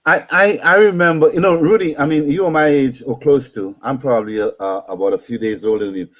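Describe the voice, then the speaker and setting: A man's voice: a little high, fast, slight background noise. A man in his fifties from Trinidad with a Trinidadian accent, telling stories on a radio talk show and often repeating words as he starts a sentence.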